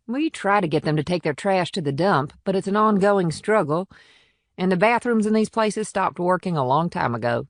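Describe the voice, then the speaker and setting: A man's voice: high voice, expressive, normal speed, no echo, voice pushed up. A firm small-town policewoman, acted out by a male narrator in a crime audiobook. The delivery is plainspoken and matter-of-fact, with a protective edge toward the locals. The sound is dry, with very little room. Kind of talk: audiobook character dialogue.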